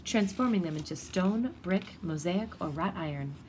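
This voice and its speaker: A woman's voice: fairly low pitch, expressive, normal speed, darkish tone. Woman reading aloud, with background noise. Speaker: storybook reader. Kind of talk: children's picture-book read-aloud.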